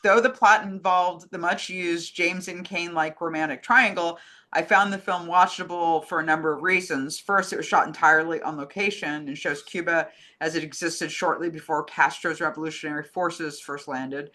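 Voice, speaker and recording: A woman with a bright voice speaks as co-host of a casual film program. She moves between reading listener letters and chatting informally about movies. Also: lowish voice, fairly even intonation, normal speed. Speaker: co-host reading fan questions.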